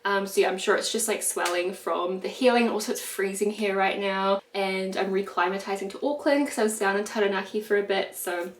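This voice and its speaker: A woman's voice: mid-range voice, a little fast, few pauses, a little hiss or hum. Young NZ woman, congested nasal voice, casual filler-heavy chat, echoey kitchen. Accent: New Zealand. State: congested, stuffy nose. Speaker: vlogger. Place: home kitchen. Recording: echoey room.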